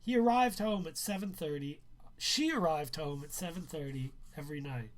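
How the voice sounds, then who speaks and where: A man reading a first-person story aloud as its author, in a measured, expressive storytelling way. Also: on the high side. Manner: measured, expressive, acts out dialogue. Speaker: author reading own book. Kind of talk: narrated live book reading, first-person teen story.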